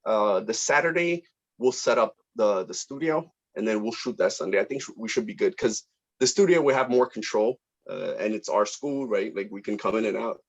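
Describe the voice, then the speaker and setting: A man's voice: lowish voice, moderate pace, breathy edge. A man leading a casual online production meeting as director, speaking informally and appreciatively, with hesitant phrasing. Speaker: student film director running a table read meeting. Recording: dull lo-fi online call audio.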